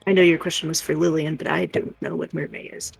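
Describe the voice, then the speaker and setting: A livestream co-host chatting casually and telling everyday stories in an animated, playful way. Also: medium pitch, fast, creaky, slightly rough, no echo. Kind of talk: casual livestream chat and storytelling. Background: music.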